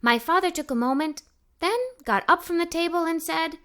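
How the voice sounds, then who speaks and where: A woman reading a children's story aloud in an expressive, enthusiastic way, as an adventurous young girl telling her own tale. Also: very high-pitched, normal speed. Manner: animated, enthusiastic. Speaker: storybook narrator.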